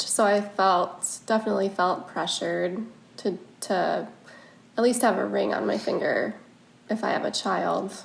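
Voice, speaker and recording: A woman's voice: mid-range voice, vocal fry, reverberant, rough recording. A woman of thirty, a podcast guest, talking candidly in casual conversation. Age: 30s.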